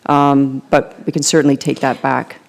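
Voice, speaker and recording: A woman with a Canadian accent, a planning consultant presenting a proposal at a council meeting in a measured way with many fillers. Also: extremely low pitch, flat delivery, gravelly. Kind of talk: formal presentation and answers.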